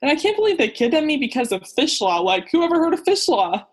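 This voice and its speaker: Woman playing a high-voiced, breezy French oceanographer. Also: very animated, fast. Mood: relieved, exuberant. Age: young adult. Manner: breezy, dismissive, drops in French phrases. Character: self-absorbed, breezy male French oceanographer. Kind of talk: student radio play acting.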